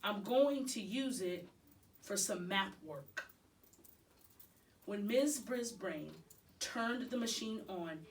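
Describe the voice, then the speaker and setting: A woman reading a children's novel aloud expressively, phrase by deliberate phrase. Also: mid-range voice, distant mic, a little hiss or hum. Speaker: storybook reader.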